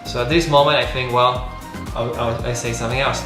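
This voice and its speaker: A man's voice: medium pitch, steady tone, a little fast, a bit bright, faint music behind. Earnest male host, echoey room. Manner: earnest, non-native English with small grammar slips. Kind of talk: casual conversational interview.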